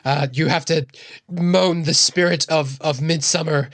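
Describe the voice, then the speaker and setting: Casual New player, dry close sound. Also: female voice, deep voice, fairly even intonation, a little slow, no echo. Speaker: tabletop game player. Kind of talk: casual chat, playing a tabletop game.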